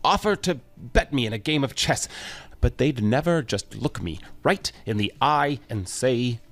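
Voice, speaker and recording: Male actor as Death, weary, wry, exasperated, chatty grim reaper, very lively intonation, audio drama comedy. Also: medium pitch, measured pace. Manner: wry, conversational, complaining.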